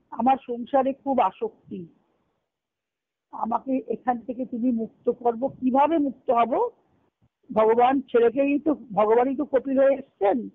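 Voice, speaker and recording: A woman's voice: fairly high pitch, dry recording. A woman giving a devotional discourse as a spiritual teacher, explaining by asking and answering her own questions and quoting Sanskrit verses. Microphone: conference call line. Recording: phone-like narrow-band conference call.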